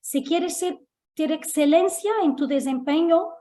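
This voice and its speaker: A woman from Portugal speaking with a Portuguese accent, giving a halting panel talk full of fillers and restarts. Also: high voice, mellow. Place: Lisbon.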